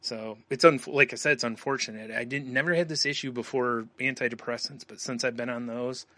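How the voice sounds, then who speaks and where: Bright-voiced rambling man on a podcast. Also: medium pitch, fairly even intonation, fairly quick, no room sound. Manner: rambling, laughs often, trails off. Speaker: podcast co-host. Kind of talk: casual chat.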